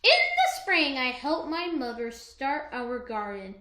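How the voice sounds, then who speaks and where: Female read-aloud, high pitch, lively swings, children's story, echoey room. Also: slow pace.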